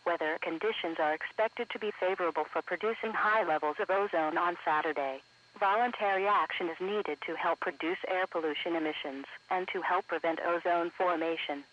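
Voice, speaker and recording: A man's voice: high voice, a little fast. A man reading scripted weather bulletins in a formal, segmented, automated way as a radio announcer. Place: radio broadcast captured for an online stream. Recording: muffled lo-fi weather radio broadcast.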